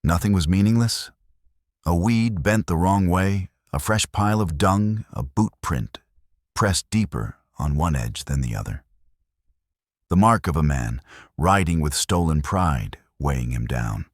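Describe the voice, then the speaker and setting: A man narrating a scripted western story in a measured, solemn, dramatic storytelling manner. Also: deep voice, expressive, no echo, studio quality.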